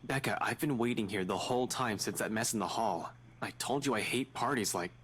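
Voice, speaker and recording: A man's voice: on the low side, brisk, fairly bright tone, breathy edge. A man playing a defensive, impatient young man in a visual novel game. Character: Ashton, defensive, impatient young man, pleading with his companion.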